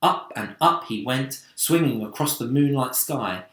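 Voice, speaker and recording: Male storybook narrator, theatrical and animated, roomy sound. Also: mid-range voice, a little slow. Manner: dramatic, theatrical. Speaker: storybook reader, teacher. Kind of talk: expressive children's story narration. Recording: roomy echo.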